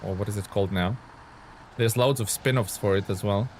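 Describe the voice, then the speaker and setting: A man's voice: fairly low pitch, fairly quick, a little mellow, slight background noise. Chatty Lithuanian-born man streaming a game. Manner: loose and chatty, reads viewer comments aloud, many fillers. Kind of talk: casual live-stream chat.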